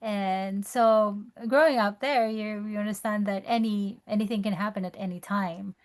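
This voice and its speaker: A woman from the Philippines, sharing from her experience in a measured, thoughtful way. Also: medium pitch, fairly even intonation. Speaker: call participant.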